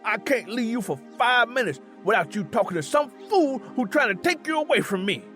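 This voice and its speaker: A possessive husband in a blazing rage, his Southern drawl pushed very high. He stammers and threatens in explosive bursts. It is a dramatized storybook reading over music. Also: very animated, fairly quick, bright, forceful tone, heavily acted. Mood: jealous rage. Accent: American South. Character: enraged, possessive, threatening young husband Curly.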